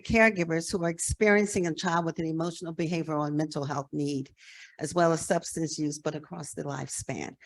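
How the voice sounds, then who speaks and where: An American woman in her sixties with a slightly low voice speaks as a presenter to a group. She talks frankly and conversationally, stressing her key points with conviction. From New Jersey, United States. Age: 60s. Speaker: presenter, nonprofit executive director. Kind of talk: conference presentation.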